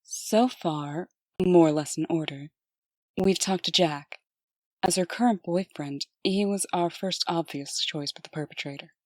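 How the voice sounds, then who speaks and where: A woman playing a composed, dutiful, dryly candid deputy in a comic dub, with measured, formal delivery. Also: on the low side, darkish tone, no echo.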